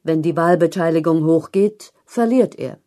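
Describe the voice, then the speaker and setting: A woman reads a long-form news article as a narrator. Her voice sits fairly low and has a very mellow, dark tone. The delivery is scripted, measured and clearly articulated.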